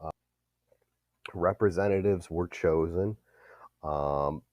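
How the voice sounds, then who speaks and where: Male history teacher, very low voice, dry sound, explains steadily. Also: takes it slowly, a little mellow, dry recording. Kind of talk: recorded classroom lecture.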